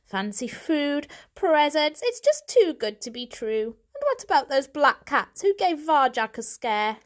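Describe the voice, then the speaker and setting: A woman's voice: sits high, big pitch swings, measured pace, pitched above the performer's own voice. Woman voicing a grave, worried old male cat. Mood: suspicious, insistent. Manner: grave, pleading, insistent. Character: worried elderly male cat, patriarch of the family.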